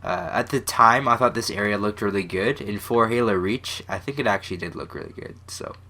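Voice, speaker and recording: Young male gaming host, congested and stuffy, bright tone, low-ish voice, loose rambling commentary. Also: a little fast. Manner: rambling, self-deprecating, many fillers and restarts. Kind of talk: casual gameplay commentary.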